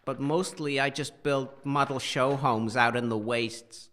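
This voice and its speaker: A man playing an eccentric, friendly junk-collecting wanderer in a comic audio drama, affable and quietly proud as he rambles. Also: mid-range voice, fairly even intonation, measured pace, natural voice. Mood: helpful, chatty.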